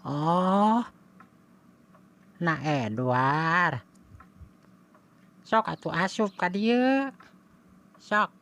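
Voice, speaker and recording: A woman plays a hospitable old cartoon granny with a Sundanese accent. She draws her words out extremely slowly in a slightly low voice, sounding welcoming. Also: lively intonation, fairly bright tone, exaggerated voice. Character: hospitable old grandmother answering her door.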